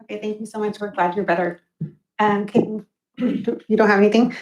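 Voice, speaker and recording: A board president runs the meeting politely and procedurally, calling on each speaker in a flat, even tone. Her voice sounds roomy, with the echo of a meeting hall. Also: medium pitch, a little fast. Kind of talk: chairing a meeting. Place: school board meeting room.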